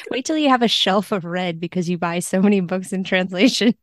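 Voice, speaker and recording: A woman chatting casually and wryly about books as a podcast guest, quick to laugh. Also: mid-range voice, dry recording, studio quality. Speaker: guest, literary translator.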